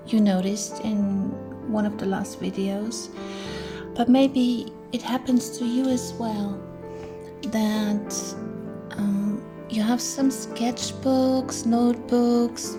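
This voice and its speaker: A middle-aged woman, chatting gently through a crafting tutorial and thinking aloud as she works. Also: a little high. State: hoarse. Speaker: crafting channel host. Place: indoors at a craft table.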